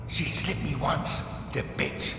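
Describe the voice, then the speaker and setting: Man acting a gravelly, cruel gangster uncle, echoing. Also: a little high, noisy background, voice pushed up. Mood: cold menace. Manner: slow, taunting, menacing. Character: calm, cruel, patient older gangster uncle taunting his prey. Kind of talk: dark audio drama acting. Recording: echoey reverberant hall, music underneath.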